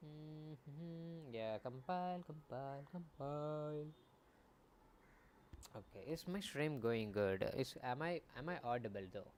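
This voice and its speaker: A man's voice: on the high side, lively intonation, slow pace, darkish tone, a little hiss or hum. A young man casually streaming as he builds a game, rambling and correcting himself as he thinks aloud at a desk mic. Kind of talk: casual live coding commentary.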